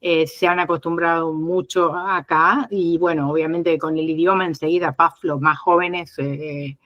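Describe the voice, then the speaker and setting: A woman's voice: sits low, fairly even intonation, measured pace, dull audio. A middle-aged woman from Argentina with an Argentine accent, talking casually as an interview guest and explaining at length with many fillers and restarts. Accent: Argentine Spanish. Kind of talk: casual conversation.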